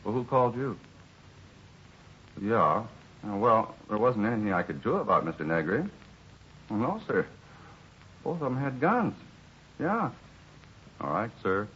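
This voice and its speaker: A man acting a weary, put-upon bar employee in a 1950s radio drama, rambling along plainly in an American accent with a husky voice. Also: fairly low pitch, very animated, a little slow, long pauses, a little hiss or hum. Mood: meek, placating. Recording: muffled 1950s radio recording.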